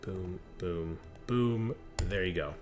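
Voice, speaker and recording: A man improvising narration as game master of a horror tabletop game, halting with drawn-out pauses as he describes scenes. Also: low voice, a little mellow, soft background music.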